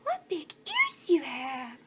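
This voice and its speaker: A woman reading a storybook aloud and voicing a polite, trusting little girl in an eager, bright way. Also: sits high, huge pitch swings, takes it slowly, very noisy, heavily acted. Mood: innocent.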